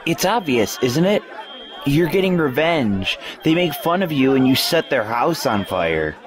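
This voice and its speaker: An actor playing a snide high school student in an audio drama, slangy and egging others on. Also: male voice, very animated, measured pace. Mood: needling. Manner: slangy, needling. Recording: loud music and crowd behind.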